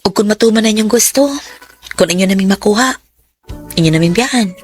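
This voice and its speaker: Woman acting guarded young woman in love, radio drama, wistful wary tone, mid pitch, faint music. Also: fast, close to an everyday voice. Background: soft background music.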